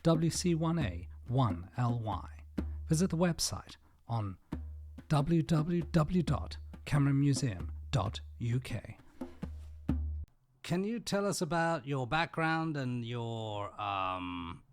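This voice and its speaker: A man's voice: mid-range voice, sing-song, takes it slowly, long pauses, soft background music. A man hosting a podcast, reading the introduction and asking short interview questions. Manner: reads sponsor script, asks short questions.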